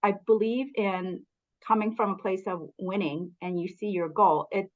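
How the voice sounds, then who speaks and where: A middle-aged woman from Canada speaks as an interview guest, candid and motivational as she shares her path. Her voice sits at an ordinary pitch for a woman. The recording sounds a little muffled and lo-fi. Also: steady tone, normal speed. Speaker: guest, business coach. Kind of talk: casual interview storytelling.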